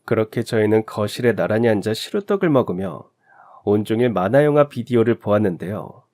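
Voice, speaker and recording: A man narrating a heartfelt first-person life story in a gentle, reflective scripted telling. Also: on the low side, sing-song, brisk.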